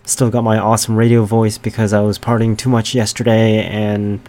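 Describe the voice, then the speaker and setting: A man hosting a punk radio show, raspy from the flu, chatting in a laid-back way into a studio mic. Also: lowish voice, flat delivery, normal speed, darkish tone.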